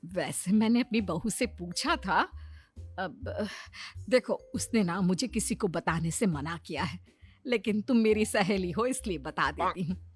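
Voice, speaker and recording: A woman's voice: on the low side, animated, unhurried, husky, faint music behind, voice pushed down. A woman voicing a chatty, gossipy middle-aged mother-in-law in a cartoon story, confiding her secrets in a conspiratorial way.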